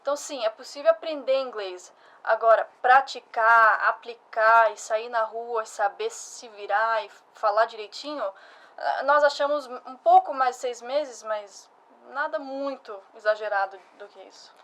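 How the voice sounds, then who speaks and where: Woman in her thirties, academic director type, explains patiently, voice a bit high. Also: unhurried, fairly bright tone. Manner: explanatory, uses fillers like "ah" and "né". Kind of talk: casual interview answers.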